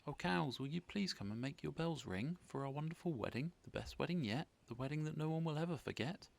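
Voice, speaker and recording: A man's voice: on the high side, animated, a little fast, vocal fry, voice pushed up. A man voicing a loving, eager and polite scarecrow bridegroom in a storybook, with faint noise behind.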